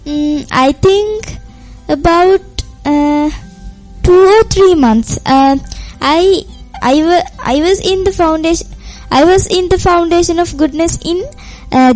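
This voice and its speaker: A woman with as her interviews in slow, halting English. Her voice is very high. Faint music plays behind her. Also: darkish tone, poor audio. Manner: hesitant, careful English. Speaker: radio interviewer. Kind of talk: interview questions.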